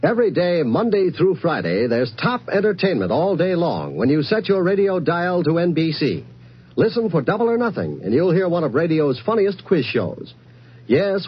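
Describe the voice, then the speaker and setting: A man's voice: on the high side, a little hiss or hum. An American man announcing programs in a polished, salesy 1950s radio promo style. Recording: dull 1950s radio recording.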